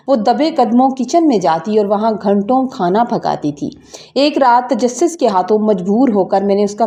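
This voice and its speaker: Mellow-voiced woman telling an emotional story. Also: medium pitch. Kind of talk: scripted narration of an emotional story.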